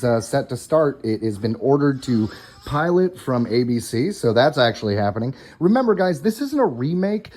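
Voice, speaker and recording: Guy doing a casual solo podcast from his hotel room, talks right to the listeners, normal pitch. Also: darkish tone, slightly rough. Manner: informal, addressing listeners directly as guys. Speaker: podcast host. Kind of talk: casual solo podcast chat with news updates. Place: hotel room while on vacation.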